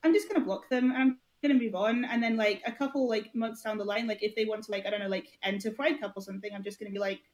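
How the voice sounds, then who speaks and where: A woman's voice: mid-range voice, fairly even intonation, fast talker, few pauses, a little mellow. A woman guest on a podcast, an esports founder and coach, speaking candidly in a rambling way full of "like" fillers and hesitant restarts. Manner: candid, blunt at times. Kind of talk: casual podcast conversation.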